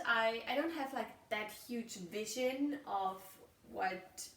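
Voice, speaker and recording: German-accented woman musing out loud on a phone memo, restarts a lot, sounds far off and roomy with faint background hum. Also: medium pitch. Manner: musing, searching for words, frequent restarts. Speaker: podcast host. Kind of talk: casual reflective monologue. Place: outdoors while walking. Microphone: phone voice memo held away.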